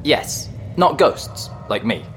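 A man's voice: medium pitch, sing-song, slow pace, a little hiss or hum. A man playing a polite, slightly nervous and friendly ghost in an audio drama, chatty and understated.

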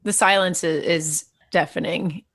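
Young woman interviewer from Texas, slightly low voice, thoughtful and probing. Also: steady tone, a little slow, a bit bright, dry recording. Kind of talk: conversational interview.

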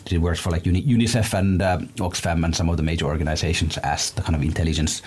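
A man's voice: deep voice, level tone, quick pace, a little mellow, slightly rough. A male researcher who grew up in Ethiopia, answering interview questions at length with many fillers and restarts. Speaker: researcher guest.

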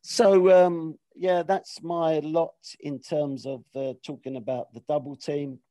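A man's voice: on the high side, little pitch movement, slow, warm tone, no room sound. A man hosting a podcast in a casual, rambling way, with many hesitations and restarts. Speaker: podcast host. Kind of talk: casual chat.

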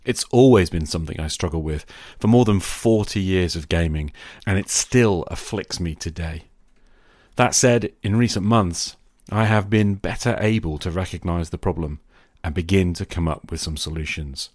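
A middle-aged man hosting a podcast, delivering a reflective scripted monologue in a measured, confessional manner. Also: sits low, a little mellow, dry recording, clean studio sound. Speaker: podcast host.

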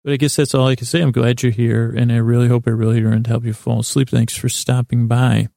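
A man hosting a bedtime podcast in a meandering, halting monologue, often interrupting himself and wandering off track while gently reassuring the listener. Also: medium pitch, level tone, vocal fry, no room sound, studio quality. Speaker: podcast host.

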